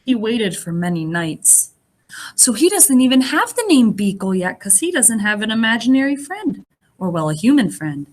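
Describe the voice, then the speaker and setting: A woman with a fairly high voice reads a storybook to first graders. She sounds like a teacher, expressive in her delivery, and she steps out of the text to explain and comment in simple, child-directed language. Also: normal speed. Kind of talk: animated children's read-aloud with asides.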